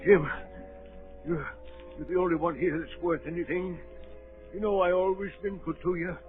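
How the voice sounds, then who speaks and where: Male actor as blustering old sea captain, desperate, halting, raised swooping pitch, tinny noisy vintage radio drama. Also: fairly even intonation, music in the background, close to an everyday voice. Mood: weak, pleading. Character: gruff, desperate, rum-craving old pirate captain. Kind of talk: 1930s radio acting.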